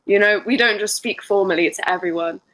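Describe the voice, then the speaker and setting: A woman with an English accent acts as a speaking-test examiner. Her voice is bright and clear, and she is friendly and quick to laugh. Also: medium pitch, dry recording, poor audio. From England.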